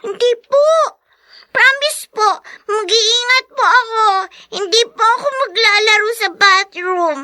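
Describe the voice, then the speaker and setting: A woman's voice: extremely high pitch, a little slow, sharp tone, no room sound, studio quality, heavily acted. A woman voicing a polite, eager seven-year-old girl in a Filipino radio drama, speaking with a Filipino accent. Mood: polite pleading. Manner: polite, pleading.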